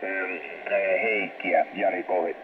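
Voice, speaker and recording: Male radio amateur, fairly low voice, casual halting chat, narrow shortwave sound buried in heavy noise. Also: fairly even intonation. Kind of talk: casual ham radio contact. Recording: tinny, very noisy shortwave radio transmission. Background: loud radio static.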